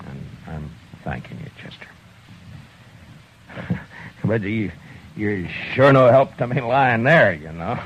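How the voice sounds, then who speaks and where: An actor playing a steady frontier marshal who talks firmly and commandingly, on an old radio transcription. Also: male voice, lowish voice, slow, pauses often, lo-fi sound, a little hiss or hum. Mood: gentle. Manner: firm and commanding, gruff warmth with friend.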